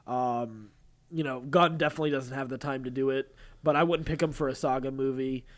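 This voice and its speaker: A man's voice: medium pitch, fairly even intonation, a little fast. A man co-hosting a podcast, casually discussing film news and often restarting his phrases. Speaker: podcast co-host.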